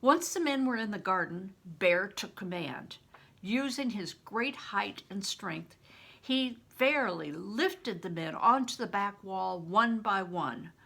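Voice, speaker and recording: An older woman reads a children's novel aloud in a bright, forceful voice with noticeable creak. Her delivery is expressive and emphatic, like a seasoned storyteller. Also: mid-range voice, slow pace. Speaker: retired reading teacher, storyteller. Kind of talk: expressive audiobook-style reading of a children's novel.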